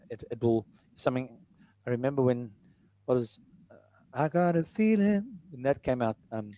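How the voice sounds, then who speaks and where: A middle-aged man with a baritone voice, talking casually about his music in an interview, rambling with many fillers and restarts. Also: dark tone, no room sound, poor audio. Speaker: musician and entertainer.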